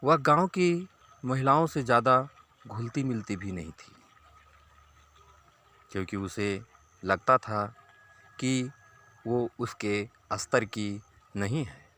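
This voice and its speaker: A man narrating a scripted moral story to listeners as a storyteller, in a deliberate, halting, phrase-by-phrase delivery. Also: medium pitch, pushed and bright.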